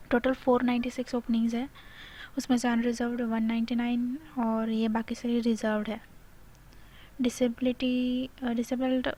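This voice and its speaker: A woman's voice: on the high side, darkish tone, poor audio. An Indian woman giving an informal explainer, reading out and explaining details while mixing in English phrases. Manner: mixes Hindi with English phrases. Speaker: video host explaining a job notification. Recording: clear background noise.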